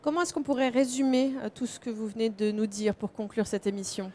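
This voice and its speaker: A woman's voice: fairly high pitch, a little fast, warm tone. A woman hosting a live conference interview in a spontaneous way, interjecting to check and rephrase points. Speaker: host.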